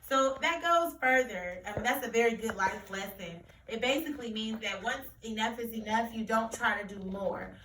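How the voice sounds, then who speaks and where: A woman's voice: on the high side, animated, moderate pace, few pauses, pushed and bright. Woman teacher in a roomy, echoey recording. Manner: explanatory, repeats key phrases for children. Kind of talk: read-aloud lesson discussion for children. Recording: roomy echo, distant mic, faint noise.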